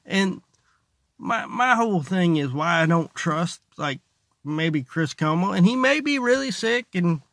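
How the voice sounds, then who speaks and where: A man talks like a stand-up comic in a loose, sarcastic monologue. His voice sits a bit high for a man and crackles with heavy fry. The sound is close and dry, as inside a car. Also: lively intonation, a little slow, fairly bright tone, no echo. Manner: rambling, sarcastic. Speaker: stand-up comedian, vlogger. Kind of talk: casual comedic monologue to camera.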